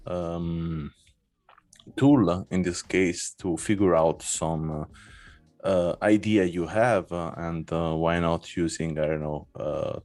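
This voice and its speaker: A male podcast host interviewing a guest, speaking haltingly with many fillers and non-native phrasing. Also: low voice, darkish tone.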